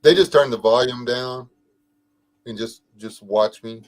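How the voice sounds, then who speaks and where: A middle-aged man with a soothing, even-pitched voice chats casually on a livestream podcast. He tells jokes and stories in a laid-back way and often pauses with fillers. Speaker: podcast co-host.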